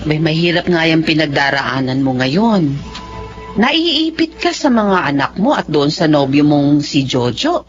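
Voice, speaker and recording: Low-voiced, skeptical older confidante. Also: female voice, big pitch swings, a little slow, muffled recording, music in the background. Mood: concerned, skeptical. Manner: questioning, admonishing. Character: sensible older female confidante, cautioning and skeptical. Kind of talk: Filipino radio drama acting.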